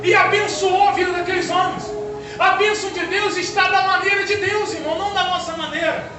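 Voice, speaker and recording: A man preaching a sermon, impassioned, swinging between hushed passages and shouted calls while addressing the congregation as brother. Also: extremely high pitch, fairly even intonation, a little fast. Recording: echoey hall, voice far from the mic, music behind. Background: music.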